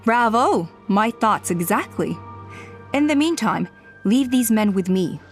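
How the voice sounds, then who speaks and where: A woman voicing a calm tribe elder in a video game who speaks in a measured, patient way and counsels caution. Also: a little high, faint music behind.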